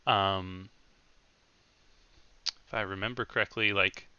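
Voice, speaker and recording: Low-voiced man recapping as game master. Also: fairly even intonation, slow, bright, forceful tone, smooth, no room sound, muffled recording. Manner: loose recap with many ums and self-corrections. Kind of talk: recapping a tabletop game session.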